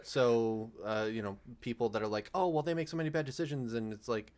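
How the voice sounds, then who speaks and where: A man's voice: medium pitch, steady tone, fairly quick, a little mellow. A man talking casually in a group commentary, analytical and discursive, piling up examples as he goes. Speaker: panelist in a cast and crew commentary.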